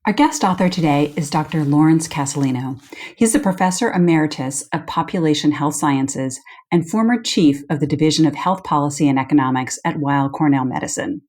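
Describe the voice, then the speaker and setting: A woman's voice: low-pitched, fairly quick, a little mellow. A woman co-hosting a podcast as a deputy editor, introducing and interviewing a guest. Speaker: co-host, deputy editor and professor.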